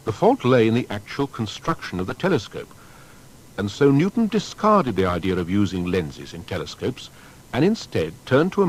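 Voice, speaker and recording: A man narrating a scripted historical biography in a formal storytelling manner with deliberate emphasis. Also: on the low side, husky, low-quality recording.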